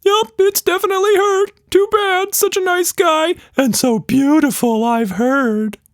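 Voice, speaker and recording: A man voicing a tiny, cheeky monster in a squeaky falsetto, playful and whiny, for a children's story. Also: fairly even intonation, unhurried, no room sound, clean studio sound. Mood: teasing. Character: tiny cheeky shape-shifting pink monster, silly and shy.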